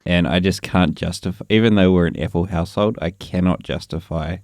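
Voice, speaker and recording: Deep-voiced New Zealand man, dry-sounding. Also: a little fast, a little mellow, no room sound. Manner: wry, chatty, laughs often. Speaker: podcast co-host. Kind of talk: casual tech chat.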